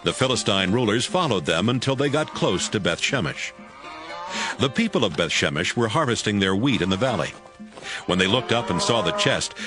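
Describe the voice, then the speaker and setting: A man narrating a dramatized audio Bible as scripted storytelling. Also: medium pitch.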